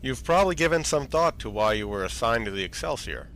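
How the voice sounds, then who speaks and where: Man acting as senior fleet admiral, mid-pitched, formal, measured, matter-of-fact, sci-fi audio drama, ambient noise behind. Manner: formal, authoritative, measured briefing. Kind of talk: scripted science fiction audio drama acting. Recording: steady background ambient noise.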